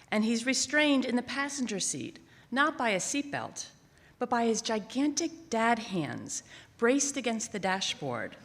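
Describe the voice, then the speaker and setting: A woman writer reading a personal essay aloud at a live event, expressive and emphatic. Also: a little high. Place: theater stage at a library, live event. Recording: echoing theater with long reverb.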